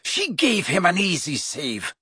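A man's voice: high voice, huge pitch swings, unhurried, pushed and bright, husky, dry recording, studio quality, voice pushed up, full character voice. A man voicing a sulky, menacing teenage sports rival in a storybook, demanding and resentful.

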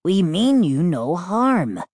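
A woman voicing a small male mischief gnome in a children's audiobook, raspy, solemn and pleading. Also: fairly low pitch, big pitch swings, slow pace, darkish tone, no echo, studio quality, voice pushed up, heavily acted. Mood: earnest pleading. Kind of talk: audiobook character voice.

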